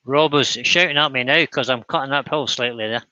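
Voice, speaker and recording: A man's voice: medium pitch, brisk, bright, forceful tone, no room sound, dull audio. A man hosting a live woodturning demonstration in casual, chatty style. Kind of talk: casual chat while demonstrating.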